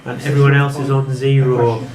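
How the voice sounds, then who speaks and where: A man's voice: mid-range voice, level tone, a little fast. A man keeping score at a live quiz, calling out totals and times in a casual way. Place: indoors in a pub. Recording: echoey pub room with crowd noise.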